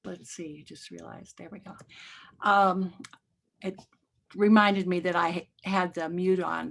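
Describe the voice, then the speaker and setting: Measured woman panelist, slightly low voice, video call. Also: vocal fry. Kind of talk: explaining on a webinar.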